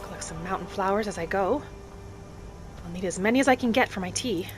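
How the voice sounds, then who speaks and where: A gentle young elf woman, played by a woman gamer, narrates her own fantasy game journey. She is soft-spoken and unhurried, musing to herself at a medium pitch. Music plays clearly behind her voice. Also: lightly acted. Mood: calm, musing. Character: gentle young female wood elf alchemist and forager. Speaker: player of a solo fantasy roleplay video series.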